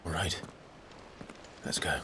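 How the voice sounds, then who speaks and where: An actor playing a brooding, steadfast fantasy warrior in a video game, with a terse, hushed and serious delivery. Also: male voice, slow, slight background noise. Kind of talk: dramatic video game voice acting.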